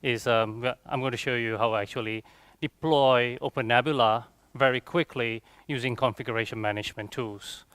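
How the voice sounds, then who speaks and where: A man's voice: medium pitch, fairly even intonation, normal speed, a bit bright, clear, resonant tone, no echo. A young man with a Malaysian accent giving an informal, chatty technical talk as a conference speaker, often checking in with his listeners. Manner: chatty, frequent rhetorical check-ins like "okay" and "right". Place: conference room, on stage before a seated audience.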